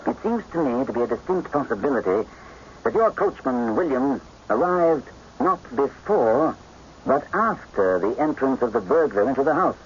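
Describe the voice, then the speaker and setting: A man playing a polite, methodical detective who asks measured, probing questions in a radio drama. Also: medium pitch, sing-song, natural voice. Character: shrewd English gentleman detective, polite, coolly methodical. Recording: muffled radio drama recording, faint noise.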